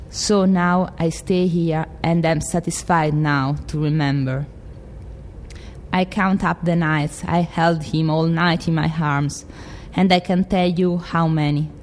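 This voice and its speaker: Brief: woman playing a defiant grieving widow, Italian accent, very low voice. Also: darkish tone, big-room sound, slight background noise. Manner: impassioned, defiant monologue reading. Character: proud grieving middle-aged widow, fiercely devoted.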